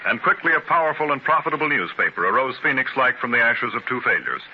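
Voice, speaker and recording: A man's voice: medium pitch, lively intonation, fast, natural voice. A man narrating a 1930s radio biography drama in a formal, dramatic announcer's delivery. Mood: stately. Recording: noisy, dull 1930s radio transcription.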